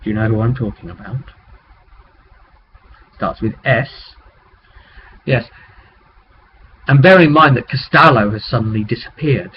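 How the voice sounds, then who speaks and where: A man talking casually and haltingly as a game master recapping a tabletop game, rambling with conspiratorial asides. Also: mid-range voice, slightly rough. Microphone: webcam microphone. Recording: muffled webcam mic with background noise.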